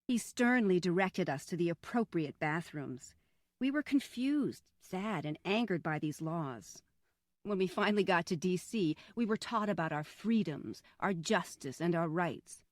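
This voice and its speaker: A woman narrating a scripted first-person memoir in a measured, reflective way. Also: fairly low pitch, animated, no room sound, studio quality.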